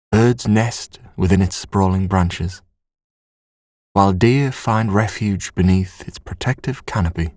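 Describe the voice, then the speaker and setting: A man narrating a scripted sleep story in a soothing, measured, hushed bedtime manner. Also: deep voice, no room sound, studio quality.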